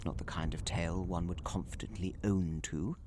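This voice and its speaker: A man's voice: deep voice, steady tone, moderate pace, noisy background, close to an everyday voice. A British man playing a genteel, talkative Victorian magazine writer in an audio drama, rambling and theatrical in manner. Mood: animated, intrigued. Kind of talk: audio drama acting in period English.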